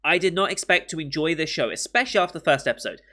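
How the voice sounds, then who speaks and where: A young British man hosting an anime reaction channel, chatting casually and rambling with many pauses and restarts, a bit sniffly from a cold. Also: on the high side, lively intonation, bright, forceful tone. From Britain. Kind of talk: casual chat to camera.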